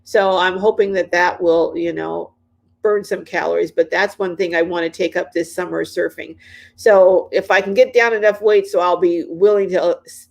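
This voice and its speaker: A woman in her late sixties hosting a casual livestream, reading viewers' comments aloud and chatting back, often repeating herself and pausing with ums. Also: lowish voice, gravelly, darkish tone. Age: 60s. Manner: chatty, repeats phrases, frequent ums and restarts. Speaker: livestream host. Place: inside her van or RV.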